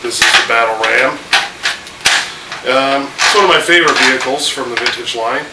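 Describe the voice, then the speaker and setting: A man's voice: medium pitch, moderate pace. A man in his thirties with a cold, hosting a casual home video and chatting loosely with lots of fillers. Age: 30s. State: has a cold, voice a little off. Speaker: host. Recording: echoey room, distant mic, air conditioner hum.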